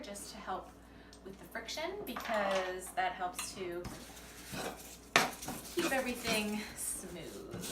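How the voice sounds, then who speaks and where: A woman's voice: mid-range voice, reverberant. A woman talking casually as an amateur vlogger, walking viewers through a home cooking demonstration. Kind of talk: casual chatty vlog narration and cooking demonstration. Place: on location at shops and a home kitchen. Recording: noisy amateur recording with kitchen clatter.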